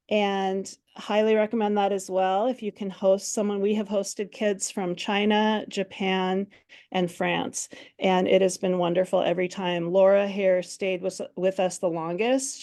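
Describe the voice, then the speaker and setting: A woman's voice: lowish voice, a little mellow. A woman in her forties from Minnesota, a French teacher giving an online workshop presentation in a friendly, chatty way with many ums. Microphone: video call.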